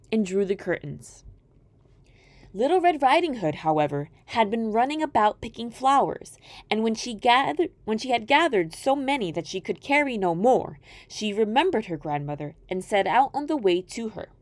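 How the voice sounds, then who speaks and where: A woman reading a folktale aloud as an expressive storyteller. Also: on the high side, no echo.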